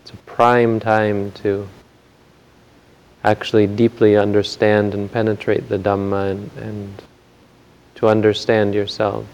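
A man giving a Buddhist Dhamma talk in a very quiet voice, gentle and hesitant, with frequent pauses and restarts. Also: lowish voice, monotone, poor audio. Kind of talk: soft-spoken Dhamma talk.

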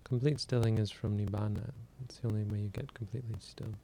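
A man giving a relaxed, plainspoken meditation talk and answering questions on a livestream. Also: fairly low pitch, a little hiss or hum. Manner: plainspoken, unhurried, loosely conversational. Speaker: meditation teacher.

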